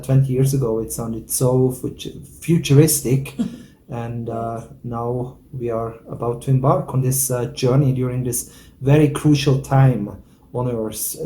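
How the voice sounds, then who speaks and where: A man from Switzerland introducing himself and his work as a spiritual teacher, pausing often with "uh". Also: mid-range voice, warm tone. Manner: slow, many hesitant "uh" fillers. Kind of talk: calm introduction.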